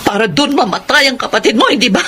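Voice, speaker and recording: A woman's voice: high voice, sing-song, fast talker, fairly bright tone, a little hiss or hum. An actress playing a grieving, bitterly accusing middle-aged mother in a Filipino radio drama. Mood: cold resentment. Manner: emotional, tearful, accusatory.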